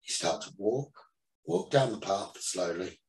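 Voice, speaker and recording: A man leading a guided meditation as the teacher, giving soothing instructions in short phrases with pauses between them. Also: lowish voice, animated, creaky. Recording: roomy echo, distant from the mic.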